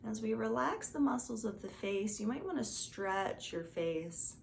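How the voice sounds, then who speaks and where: A woman gently guiding a meditation as a teacher, in a soothing, soft and unhurried manner. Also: a little high, big pitch swings, gravelly. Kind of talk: guided meditation instruction. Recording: faint background noise.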